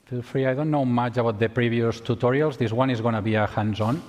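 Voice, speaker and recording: A man's voice: medium pitch, fairly even intonation, brisk, darkish tone. A man from Spain with a Spanish accent, giving a conference tutorial, often restarting and repeating words. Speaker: tutorial presenter. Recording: long hall reverb.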